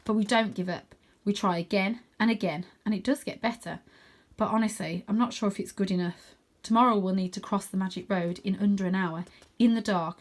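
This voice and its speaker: A woman's voice: medium pitch, steady tone, a little fast. A woman reading a children's storybook aloud expressively, with chatty asides to her listeners. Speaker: reader of children's stories.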